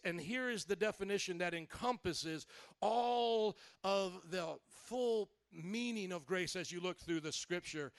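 Brief: male pastor, high voice, dry close recording, lively call-and-response sermon. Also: vocal fry, no echo. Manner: energetic call-and-response preaching; slows and stretches words for emphasis.

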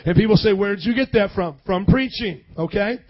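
A hoarse young man preaching a sermon, energetically calling out to the congregation for responses. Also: high voice, brisk. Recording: dull lo-fi sound.

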